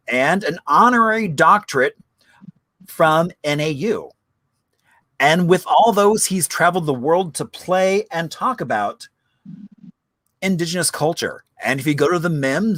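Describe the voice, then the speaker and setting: A man's voice: on the high side, a bit bright, no echo. A man hosting a virtual history happy hour, telling stories in a chatty, enthusiastic way. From Arizona. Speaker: history show host. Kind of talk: casual livestream chat. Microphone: video call.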